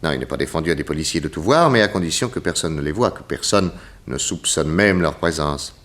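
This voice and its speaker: Deep-voiced, courteous detective. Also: male voice, lively intonation, fairly bright tone. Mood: authoritative. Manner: measured, polite, authoritative. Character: shrewd, composed, courteous male private detective. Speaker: actor in a radio crime drama. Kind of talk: 1960s French radio drama acting.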